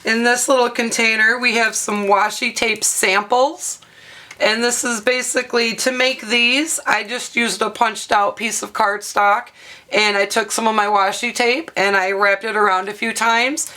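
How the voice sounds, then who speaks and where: A woman with a hoarse, half-lost voice, chatting through a craft tutorial at her table and showing items with plenty of ums and uhs. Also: a little high, fairly even intonation, a little slow, bright, forceful tone. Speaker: craft vlogger. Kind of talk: casual show-and-tell craft tutorial.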